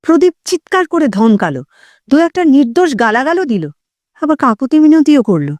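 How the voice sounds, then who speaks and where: A woman narrating an audio story in an expressive, suspenseful, dramatic scripted style. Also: high voice, normal speed, no room sound.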